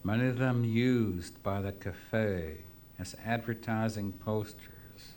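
A man reading his poems aloud to an audience, drunk, gruff and profane, rambling between pieces. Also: fairly low pitch, a little mellow, a little hiss or hum. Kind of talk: live poetry reading with rambling asides.

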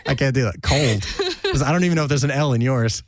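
A man's voice: mid-range voice, quick pace, no echo, studio quality. A young man from New Jersey hosting a casual chat, talkative and self-mocking, laughing often. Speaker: podcast host.

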